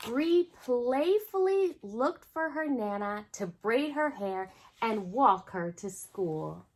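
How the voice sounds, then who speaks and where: A woman's voice: on the high side, lively intonation, slow pace. A woman hosting a children's storytime, reading a picture book aloud expressively and speaking directly to young listeners. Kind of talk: storytime read-aloud with asides to young viewers.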